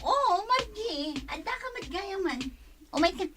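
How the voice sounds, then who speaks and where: An actress playing a homely, practical older sister in a radio drama. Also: female voice, very high-pitched, expressive, measured pace, background noise. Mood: coaxing.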